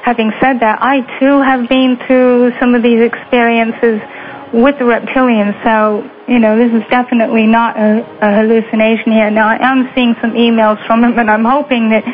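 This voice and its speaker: A woman with a faded Lancashire accent, hosting a radio talk show and rambling warmly to fill dead air. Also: fairly high pitch, little pitch movement, normal speed. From Lancashire, England. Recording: dull lo-fi radio audio with faint hiss.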